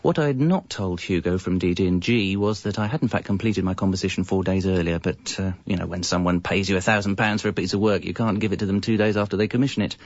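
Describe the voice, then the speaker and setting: Dry, low-voiced slacker narrator. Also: male voice, steady tone, fast, hardly any pauses, no echo. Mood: wry narration. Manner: dry, self-justifying. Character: lazy, evasive slacker jingle composer telling his story. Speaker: narrator and lead character. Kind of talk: scripted comedic first-person narration, radio comedy. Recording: slightly muffled radio recording.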